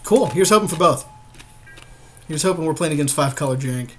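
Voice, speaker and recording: A man's voice: on the high side, expressive, a little fast, fairly bright tone, faint music behind. A man co-hosting a podcast, bantering casually and profanely with colloquial jokes while commentating on a card game. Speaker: podcast co-host playing an online card game.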